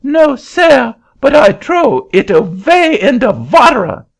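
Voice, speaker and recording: A man voicing an excitable middle-aged Frenchman with a French accent and sputtering broken English, in an audiobook reading. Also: very high voice, takes it slowly, creaky, pitched above the performer's own voice, full character voice. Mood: indignant. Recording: dull lo-fi recording.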